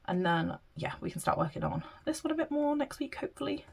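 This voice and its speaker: Snuffly British woman with a gravelly voice. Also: high voice, very animated, fast talker. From Britain. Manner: chatty, counting money aloud, apologetic asides. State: blocked-up nose from hay fever. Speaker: budgeting vlogger. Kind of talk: casual chat while counting cash aloud.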